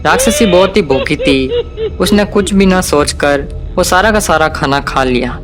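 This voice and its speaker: A man's voice: sits high, animated, a little slow, music in the background. A man narrating a scripted cartoon folk tale for children as storyteller.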